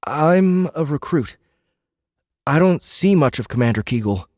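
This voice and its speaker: A man's voice: fairly high pitch, animated, measured pace, darkish tone, no echo, clean studio sound, pitched above the performer's own voice. A man voicing a wary fourteen-year-old boy recruit in an audiobook, guarded and hesitant. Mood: feigned confusion.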